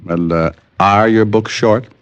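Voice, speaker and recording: An actor playing a sardonic private detective in an old radio drama, trading wisecracks and narrating in a hardboiled style. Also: male voice, on the low side, measured pace, clear, resonant tone, no room sound, lo-fi sound. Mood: wry. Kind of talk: 1950s radio drama acting. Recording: old radio broadcast with music.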